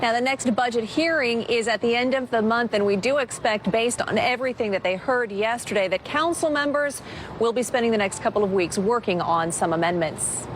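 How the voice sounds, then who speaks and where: A woman reporter talks live from outside on a city street, conversational but on-air. Her voice sits a bit high. Traffic and street noise run clearly behind her. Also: a little fast. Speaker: field reporter. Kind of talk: live outdoor news report.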